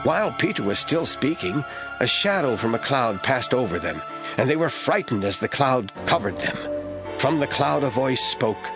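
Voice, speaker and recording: A man reading scripted Bible narration as an expressive storyteller for an audio drama. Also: medium pitch, moderate pace, music in the background.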